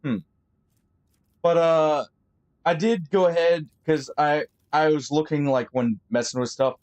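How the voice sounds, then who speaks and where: Male game streamer, light higher voice, relaxed think-aloud commentary full of fillers. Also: measured pace. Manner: friendly sign-off to viewers. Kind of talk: live gameplay commentary.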